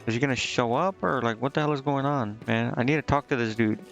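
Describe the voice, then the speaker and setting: A man's voice: mid-range voice, fast, fairly bright tone. A man doing casual live game commentary as a streamer, hesitantly thinking aloud to himself and reading on-screen text out. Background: game music.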